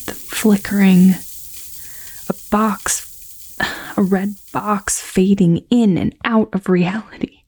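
Actress as restless young woman, obsessive, hesitant confiding, slow pace, dry close sound, audio drama. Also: mid-range voice, fairly even intonation, a little mellow, no room sound, poor audio. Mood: haunted, anxious. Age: young adult. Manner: hesitant, confiding, often breathy, swearing. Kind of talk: found-footage audio drama monologue.

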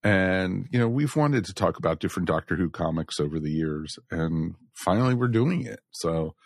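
A man's voice: deep voice, animated, normal speed, dry recording, clean studio sound. An American man hosting a podcast in a casual, chatty style. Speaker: podcast host.

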